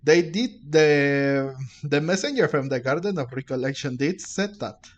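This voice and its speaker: A man doing casual gameplay commentary, thinking aloud in a halting way and sometimes reading game text. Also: on the high side, animated. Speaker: game streamer. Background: game music.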